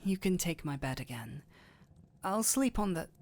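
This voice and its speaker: A man acting a gentle, weary, protective village doctor in an intimate fantasy roleplay, soft-spoken and hesitant, often breathy. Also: sits high, very animated, moderate pace. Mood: gentle, flustered. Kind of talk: intimate roleplay audio drama.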